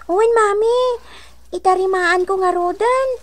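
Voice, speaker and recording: An actress playing an excitable, cheerful little girl who speaks eagerly in a radio drama. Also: extremely high pitch, measured pace, no echo. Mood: excited. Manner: eager, bubbly. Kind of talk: Ilocano radio drama acting.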